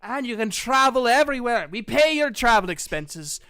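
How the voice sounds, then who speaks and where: A brash, scheming dwarf recruiter for a fantasy tabletop game, acted out by a man, pitched high and very clear. He pushes his offer hard, with taunting, emphatic patter in a dry, close sound. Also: big pitch swings, moderate pace, bright, forceful tone, no echo, heavily acted. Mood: boastful pitch. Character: brash, scheming male dwarf guild recruiter, pushy and mocking.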